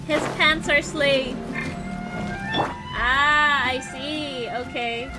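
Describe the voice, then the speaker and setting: Woman, Filipino accent, high-pitched, leisurely pace, casual livestream host, prominent music behind. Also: drawn-out words, low-quality recording. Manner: relaxed, switches between English and Tagalog. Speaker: livestream host playing a video game. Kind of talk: casual livestream chat with viewers. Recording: game music playing loudly behind. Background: loud video game music.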